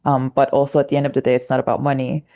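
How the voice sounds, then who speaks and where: A woman with a low-pitched voice hosts a casual interview. She laughs easily and fills her questions with hesitations. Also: level tone, racing, warm tone. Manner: laughs easily, speaks with many fillers. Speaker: interview host.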